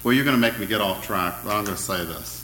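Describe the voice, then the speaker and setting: A man preaching an interactive sermon, asking his congregation questions in a loud, friendly voice. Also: lowish voice, fast, roomy sound, slight background noise. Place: church hall.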